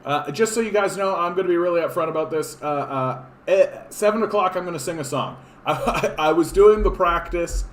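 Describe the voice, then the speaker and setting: A man's voice: high-pitched, brisk, hardly any pauses. Rambling sarcastic man in an echoey room. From Canada. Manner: rambling, frequent fillers and restarts, sarcastic. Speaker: political livestream host. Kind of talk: casual live-stream chat reading comments. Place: home room.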